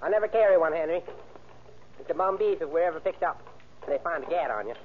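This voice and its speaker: Actor as slangy, bossy small-time crook. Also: male voice, fairly high pitch, expressive, fast, close to an everyday voice. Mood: impatient. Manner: slangy, clipped, commanding. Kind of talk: 1940s radio acting. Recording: narrow-band old radio recording, faint noise.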